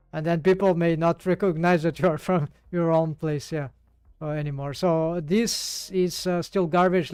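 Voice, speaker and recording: A man's voice: fairly high pitch, darkish tone, clean tone, no room sound. A man with a Brazilian accent, working through a 3D rigging task live and thinking aloud with many fillers and restarts. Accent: Brazilian Portuguese. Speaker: 3D rigging streamer. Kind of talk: live tutorial while working.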